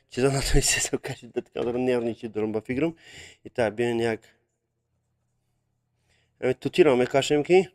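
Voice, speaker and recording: Young male streamer, halting and filler-heavy, mixes in Russian words, phone mic, casual. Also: mid-range voice, a little mellow, clean tone. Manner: hesitant, with many fillers, mixing in Russian words. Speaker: live-stream artist drawing viewer requests. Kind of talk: casual live-stream chat.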